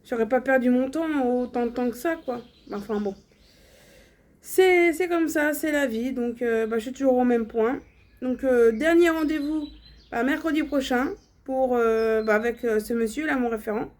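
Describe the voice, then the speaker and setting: Frank high-voiced young woman venting. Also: moderate pace, darkish tone. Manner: frank and blunt, many fillers and restarts. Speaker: vlogger. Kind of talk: casual personal vlog monologue.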